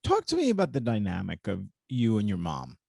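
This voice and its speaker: Male interview host, gravelly, animated, dry close sound. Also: fairly low pitch, fairly quick, dry recording. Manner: lively, questioning, breaks off and restarts. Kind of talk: conversational interview.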